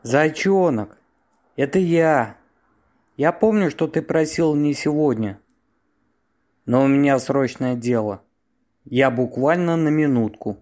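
A man plays a late-calling lover in an audio drama. He talks slowly in a medium-pitched voice. He coos and wheedles, with a fussy, suspicious streak. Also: darkish tone, lightly acted. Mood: wheedling. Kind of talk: amateur audio play acting.

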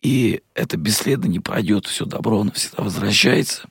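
A man hosting a radio interview, hoarse today, talking warmly and with many pauses and fillers. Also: lowish voice, dry recording, studio quality. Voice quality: husky, gravelly. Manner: warm-hearted, rambling, many fillers. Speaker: radio host. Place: radio studio.

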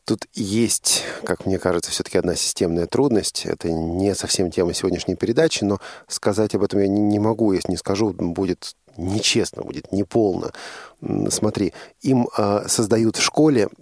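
Male radio host, low and gravelly, earnest and emphatic, thinking aloud in a close, dry sound. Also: a little mellow, dry recording. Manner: earnest, emphatic, halting with repetitions. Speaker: radio host interviewing a guest. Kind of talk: reflective radio interview.

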